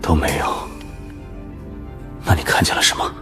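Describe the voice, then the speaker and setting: A man playing a cool, calculating young survivor in an animated web drama, terse and detached. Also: normal speed, close to an everyday voice. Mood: cool, probing. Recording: background music under dialogue.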